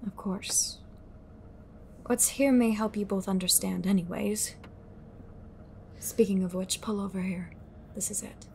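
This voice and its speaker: Attrice che interpreta una donna misteriosa, tormentata, dal parlare lento. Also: female voice, medium pitch, fairly even intonation, vocal fry, darkish tone, slight background noise. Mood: weary, guarded. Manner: cryptic, slow, deliberate, sighing. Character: haunted, secretive woman fighting a sinister occult group. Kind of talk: audio roleplay drama acting.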